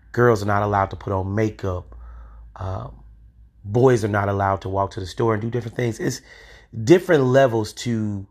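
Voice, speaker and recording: A man in his forties hosting a solo podcast, talking in an emphatic, opinionated way with occasional swearing. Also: lowish voice. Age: 40s. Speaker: podcast host.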